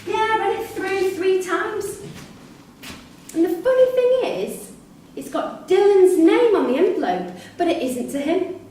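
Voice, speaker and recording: An actress in a live staged reading playing a disillusioned, confrontational prison worker with an emphatic, pointed delivery. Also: female voice, very high voice, lively intonation, measured pace, warm tone. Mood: accusing. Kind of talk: live theatre acting. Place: theatre performance space in Salford. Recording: echoey hall, distant mic, faint noise.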